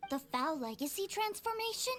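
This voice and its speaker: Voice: very high-pitched, sing-song, moderate pace, a bit bright, soft background music, full character voice. A performer playing an eager, admiring little boy, a younger brother in a video game, innocent and earnest.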